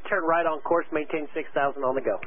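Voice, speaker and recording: A man working as a tower air traffic controller, giving brisk, clipped, directive instructions over aviation radio. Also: a little high, fairly even intonation. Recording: tinny, narrowband aviation radio with static.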